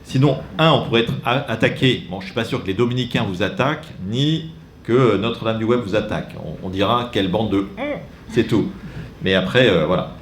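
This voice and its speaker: A man's voice: on the low side, brisk, slight background noise. A man giving an informal training talk to catechists, chatty and digressive with self-mocking asides while demonstrating on a computer. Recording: echoey room, faint ambience.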